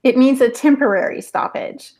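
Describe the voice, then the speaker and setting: A woman's voice: high-pitched, normal speed, darkish tone. A woman co-hosting a podcast, chatting casually in a playful, teasing way. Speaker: podcast producer and co-host. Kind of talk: casual live podcast chat.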